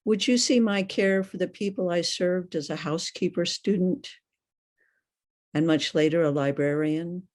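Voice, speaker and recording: An older woman reading poetry aloud in a deliberate, questioning manner. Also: on the low side, a little mellow. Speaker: poet.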